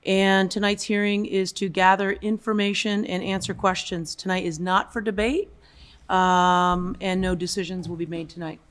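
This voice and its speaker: A woman opens a town public hearing with a formal statement. She speaks in a flat, even tone at a middle pitch. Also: moderate pace. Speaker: official opening the hearing. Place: town meeting hall.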